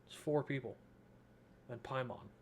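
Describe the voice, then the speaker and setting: A young man commentates on a game in a loose, slangy, joking way. His voice sits at an average pitch, with light game ambience and noise behind it. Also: steady tone, measured pace. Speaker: gaming streamer. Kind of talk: casual let's-play commentary.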